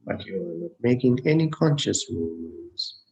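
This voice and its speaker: A man leading a guided meditation as a teacher, speaking gently and unhurriedly in short phrases with long pauses. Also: mid-range voice, sing-song, creaky. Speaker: Buddhist monk, meditation teacher. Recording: dull, muffled lo-fi sound.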